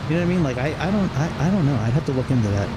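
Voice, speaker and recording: Man musing over loud engine drone. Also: on the high side, lively intonation. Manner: halting, musing, thinking aloud. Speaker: flight simulator streamer. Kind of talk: casual livestream commentary while playing. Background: loud simulated jet engine and cabin noise.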